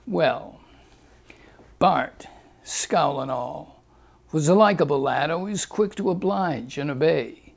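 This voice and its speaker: A man reads a rhyming fable aloud with a lilting, rhythmic lilt. His pace is very unhurried and his voice has a gravelly grain. It sits a little higher than most men's. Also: expressive. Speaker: storyteller.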